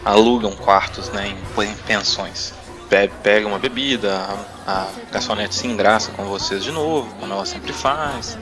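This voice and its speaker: A man's voice: on the low side, normal speed. A Brazilian man narrating a tabletop role-playing game as game master and podcast host, with music behind him.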